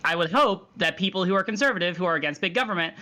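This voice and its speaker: A man hedging his way through a political argument in an online debate. He talks fast, with a bright, forceful voice. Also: high-pitched. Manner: cautious, hedging, many likes. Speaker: debate guest.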